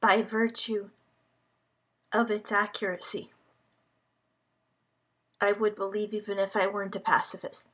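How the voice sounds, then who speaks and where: A woman whose first language is Hungarian, speaking to the public in a slow, earnest monologue, halting and deliberate with many pauses. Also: mid-range voice, flat delivery, a bit bright. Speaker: vlogger addressing the public.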